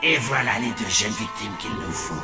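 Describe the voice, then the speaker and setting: A man's voice: lowish voice, expressive, normal speed, natural voice. An actor voicing a scheming, gleeful ancient male mummy villain in a cartoon, gloating and cackling. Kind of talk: cartoon voice acting. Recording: roomy reverberant sound, distant, loud music.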